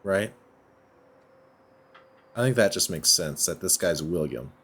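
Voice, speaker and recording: A man's voice: sits low, expressive, takes it slowly, fairly bright tone. A man giving casual let's play commentary, thinking aloud and often questioning himself as he goes. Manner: musing, self-questioning. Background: background music.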